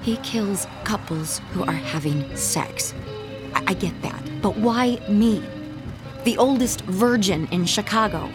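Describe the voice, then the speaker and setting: An actress playing a troubled, earnest young woman artist in an audio drama, halting and intense as she speaks. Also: medium pitch, over music, lightly acted. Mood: distressed. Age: young adult.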